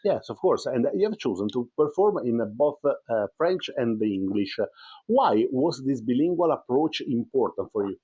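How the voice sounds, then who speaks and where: A man's voice: mid-range voice, animated, normal speed, warm tone, husky, dull audio. A man interviewing a singer, asking his questions hesitantly with many fillers.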